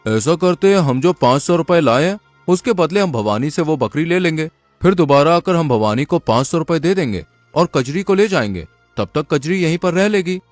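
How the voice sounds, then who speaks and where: Man playing a greedy village swindler, animated delivery. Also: on the high side, normal speed, hardly any pauses, no echo, soft background music, natural voice. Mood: scheming. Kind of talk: Hindi cartoon moral-story dialogue.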